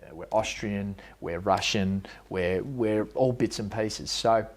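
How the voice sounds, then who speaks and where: A man's voice: on the low side, slow pace. A man running for mayor, answering interview questions in a rambling, hesitant way with many restarts, in a bar. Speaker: mayoral candidate and bar owner. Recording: loud background music.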